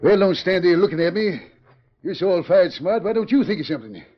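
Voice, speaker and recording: An actor playing an excitable but loyal Old West sheriff with a rural Western American accent, sputtering folksy exclamations in 1940s radio style. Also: male voice, sits high, brisk. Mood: outraged. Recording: muffled 1940s radio recording.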